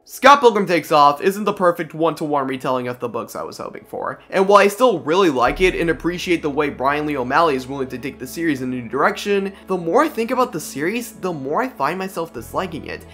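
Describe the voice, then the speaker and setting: A man's voice: on the high side, very animated, fast talker, few pauses, sharp tone, faint music behind. A young man narrating a scripted video essay in an opinionated, informal, slangy way.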